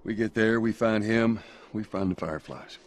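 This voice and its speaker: An actor playing a gruff, steady middle-aged survivor with a Southern US accent in a video game drama, measured and serious. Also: male voice, fairly low pitch, a little hiss or hum, natural voice. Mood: reflective, steady.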